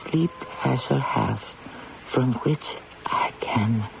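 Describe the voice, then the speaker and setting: A woman's voice: rumbling low, slow, vocal fry, heavily acted. An actress playing a proud, weary, sorrowful condemned French queen in a theatrical old-time radio drama style. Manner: theatrical, imperious, emotional. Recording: dull lo-fi radio, music behind.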